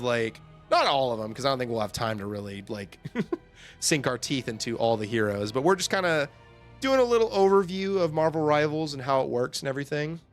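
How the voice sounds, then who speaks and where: A young man hosting a casual livestream, rambling and laughing often, his voice getting hoarse from talking. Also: mid-range voice. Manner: chatty, rambling, laughs often, lots of fillers. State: losing his voice, throat-clearing. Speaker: livestream host. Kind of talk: casual game overview chat.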